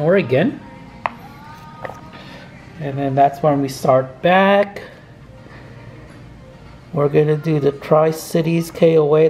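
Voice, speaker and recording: A man's voice: fairly high pitch, darkish tone, reverberant, poor audio. An older man talking casually to the camera from his dining room table about planning an RV road trip, opinionated and chuckling now and then. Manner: slow, opinionated, chuckles as he talks. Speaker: RV travel vlogger. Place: home dining room, indoors. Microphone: fixed camera across the table.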